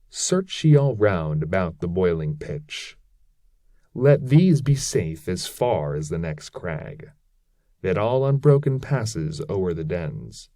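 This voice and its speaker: Man voicing a slow, commanding demon chief. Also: deep voice, mellow, no room sound, clean studio sound, full character voice. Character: arrogant male demon chief ordering his band of devils.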